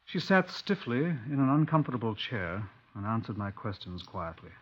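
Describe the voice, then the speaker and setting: A man acting a gruff, impatient London police superintendent in a radio drama, with a clipped, formal British delivery. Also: fairly low pitch, very animated, normal speed. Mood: dry, measured narrating. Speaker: narrator and lead actor. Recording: muffled old 1950s radio recording.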